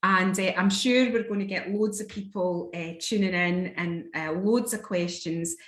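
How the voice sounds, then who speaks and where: A woman's voice: fairly low pitch, unhurried, reverberant. A woman hosting a live online interview, encouraging her guest with short affirmations. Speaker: host. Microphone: video call.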